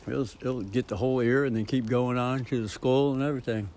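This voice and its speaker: A man's voice: medium pitch, fairly even intonation, brisk, darkish tone. A man rambling casually in a home vlog, with a profane, unfiltered way of talking. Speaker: vlogger. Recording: clearly noisy ambient background.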